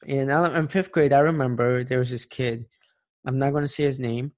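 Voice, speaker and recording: An earnest man in his late twenties talks through his own experiences in a plain, reflective way. His voice sits at an ordinary, mid-range pitch for a man, unhurried and sincere as he thinks aloud. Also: fairly even intonation. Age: late 20s. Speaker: speaker sharing personal experience. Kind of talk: personal monologue.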